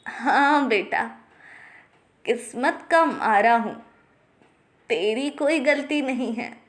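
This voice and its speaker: A woman voicing a humble, kindly old beggar man with slow, halting, gentle delivery in a children's story. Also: fairly high pitch, animated. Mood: humble, weary.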